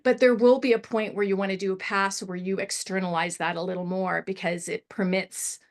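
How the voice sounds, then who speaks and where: A woman story editor giving spoken script feedback in a discussion, halting and emphatic, often illustrating with examples. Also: medium pitch, steady tone.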